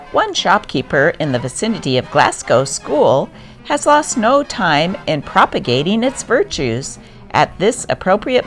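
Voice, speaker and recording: Lively low-voiced woman reading old news. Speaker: host reading old newspaper articles. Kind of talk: scripted narration, reading news aloud. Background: music.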